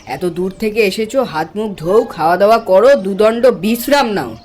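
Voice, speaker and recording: A man's voice: high voice, normal speed, darkish tone, natural voice. An actor playing a hospitable, insistent village man in a horror cartoon, talking in a chatty, coaxing, folksy way. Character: hospitable, insistent village man hosting his junior colleague. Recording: music behind the voice. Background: background music.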